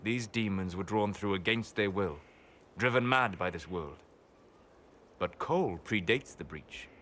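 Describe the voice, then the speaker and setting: An actor playing a scholarly, fascinated expert on spirits in a video game, explaining in a precise manner. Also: male voice, deep voice, measured pace, a bit bright, a little hiss or hum. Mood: intrigued. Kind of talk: video game character acting.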